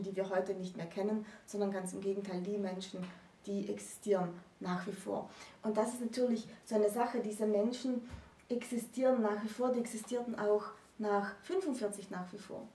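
A woman in her thirties giving an unscripted literary explanation, deliberate and halting, with pauses mid-sentence. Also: medium pitch, mellow, a little hiss or hum. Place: small book-lined room indoors. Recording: roomy, distant microphone.

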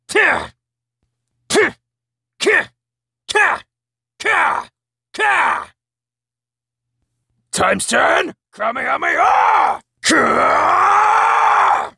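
A man voicing a confident, battle-hungry anime martial-arts hero in a strained, forceful, cocky delivery for a voice reel. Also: extremely high pitch, creaky, no room sound, studio quality, exaggerated voice. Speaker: amateur voice actor. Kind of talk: anime voice reel of grunts, yells and battle lines.

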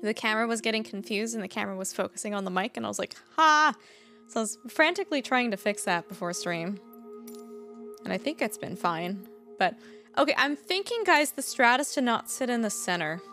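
A woman's voice: on the high side, lively intonation, a little fast, pushed and bright, soft background music. A young woman streaming a flight game from home, chatting with viewers in a chatty, self-conscious way and laughing often. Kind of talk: casual chat with viewers while gaming. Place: home streaming room, indoors. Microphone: desk condenser mic.

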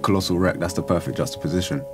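Music plays clearly behind a man with a deep voice. He talks in a casual, reflective way, as if discussing verse with someone. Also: very fast. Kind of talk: reflective casual commentary on poetry.

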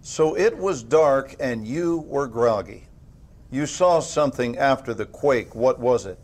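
A man playing a sardonic, controlled government agent leading an interrogation in a video game, speaking in a measured, probing way. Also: mid-range voice, animated, a little mellow, lightly acted. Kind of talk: naturalistic video game acting.

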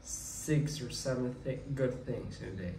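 A man's voice: mid-range voice, measured pace, creaky, warm tone, far from the mic. A young man around twenty talking through his thoughts in a casual, rambling monologue, often restarting his sentences. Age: young adult. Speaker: vlogger. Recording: echoey room, mic set back, faint ambient noise.

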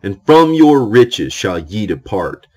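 A man reads ancient scripture as a solemn audiobook. His voice sits on the low side and moves very slowly, with deliberate pauses between phrases. The delivery is declamatory, rising and falling widely in pitch. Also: very animated, a little mellow.

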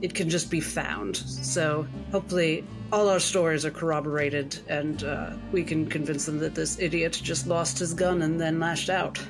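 A shrewd lady doctor, acted by a woman in a tabletop game, speaks in a measured, arch way. She is genteel but commanding, with music behind her voice. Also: low voice, steady tone, poor audio. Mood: conspiratorial. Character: shrewd, genteel female doctor with secret talents.

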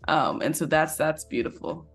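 Woman, deep-voiced livestream host on a video call, supportive and casual. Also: moderate pace, vocal fry, soft background music. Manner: supportive, quiet backchannel affirmations. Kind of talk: casual chat.

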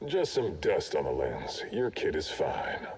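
A man's voice: extremely low pitch, very animated, measured pace, darkish tone, breathy edge, heavily acted. A man playing an easygoing, world-weary raider mercenary in a video game, with a wry, folksy manner. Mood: wry, friendly. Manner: laid-back, wry, folksy. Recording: reverberant, faint game ambience behind.

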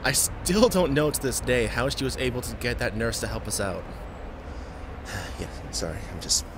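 A young man performing a first-person audio roleplay, confessing in a halting, reluctant way with frequent sighs, tearful as he talks. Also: mid-range voice, sing-song, background noise.

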